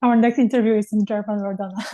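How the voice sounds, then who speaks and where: A woman's voice: mid-range voice, fairly even intonation, fast, warm tone. A woman hosting a podcast interview, putting friendly questions to her guest. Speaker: podcast host.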